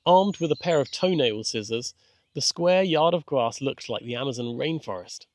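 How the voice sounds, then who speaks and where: A man's voice: medium pitch, expressive, normal speed, no room sound. Man narrating a children's story, dry sound. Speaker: author and narrator. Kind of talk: scripted children's audiobook narration.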